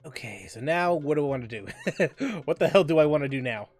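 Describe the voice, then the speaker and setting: A man's voice: fairly high pitch, animated, brisk, darkish tone, breathy edge, soft background music. A man streaming a video game, rambling to himself with chuckles and repeated phrases as he plays. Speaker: gaming streamer. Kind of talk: casual gameplay commentary.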